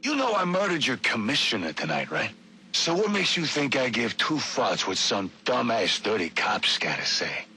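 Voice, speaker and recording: Lively, forceful, menacing villain. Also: male voice, medium pitch, normal speed, a little hiss or hum. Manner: menacing, contemptuous. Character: threatening, foul-mouthed male killer crime villain. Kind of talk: video game audio log acting.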